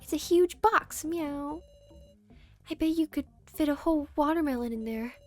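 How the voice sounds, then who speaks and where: A woman's voice: high voice, moderate pace, faint music behind, voice pushed up, close to an everyday voice. A woman voicing a catlike game girl who playfully punctuates her speech with meows.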